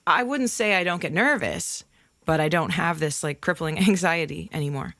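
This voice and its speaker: A woman singer speaking as a podcast guest, candid and open as she tells her stories, with occasional laughs. Her voice is slightly low for a woman, recorded close and dry with no room echo. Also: moderate pace, a bit bright. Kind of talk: casual interview chat.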